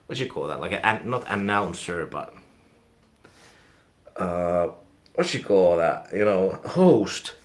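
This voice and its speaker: Man, Finnish accent, very low voice, casual meandering stream chat, YouTube host. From Finland. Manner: casual, meandering, trails off quietly. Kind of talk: rambling live stream chat.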